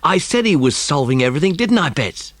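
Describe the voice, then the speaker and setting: A man doing eager loyal boy, raised pitch, emphatic, crowing, admiring, children's audiobook drama. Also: sing-song, a little fast, no room sound. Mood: triumphant.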